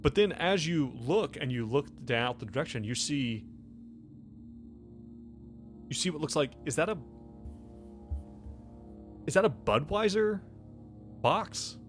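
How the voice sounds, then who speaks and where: An American man narrating a tabletop game as game master, improvising in a halting, conversational way. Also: a little high, lively intonation. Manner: halting, conversational, peppered with "like" and "you know". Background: faint music.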